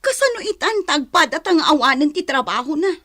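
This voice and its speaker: An actress playing a long-suffering, reproachful wife with an Ilocano accent in a radio drama. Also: female voice, sits high, big pitch swings, fairly quick, a bit bright.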